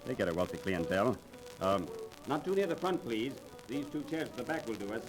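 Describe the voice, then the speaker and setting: A man's voice: medium pitch, big pitch swings, brisk, music in the background, close to an everyday voice. An actor playing a courteous, somewhat anxious businessman, polite and hesitant, in a 1930s radio drama. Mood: wry, polite. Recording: noisy 1930s radio transcription.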